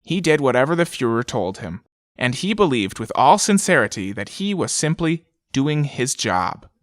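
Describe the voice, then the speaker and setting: A man's voice: medium pitch, very animated, moderate pace, dry recording, clean studio sound. A man narrating an audio drama, reading letters and documents in a scripted style.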